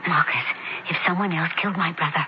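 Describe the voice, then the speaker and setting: Woman acting as steadfast roman lady, lowish earnest voice, muffled radio drama. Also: fairly even intonation, fast, close to an everyday voice. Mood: earnest concern. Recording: dull radio recording, faint hiss.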